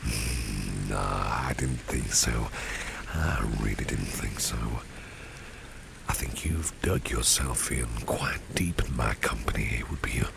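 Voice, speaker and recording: A man acts out a gentlemanly, protective boss in a flirtatious ASMR roleplay. His voice is exceptionally low and heavily creaky, sinking into a breathy half-whisper. He takes his time with suave, courtly phrases while rain and street sounds are clearly heard behind him. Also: takes it slowly, exaggerated voice. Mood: flirtatious, admiring. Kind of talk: ASMR roleplay spoken to the listener. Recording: steady rain and street ambience noise. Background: rain falling, passing vehicles.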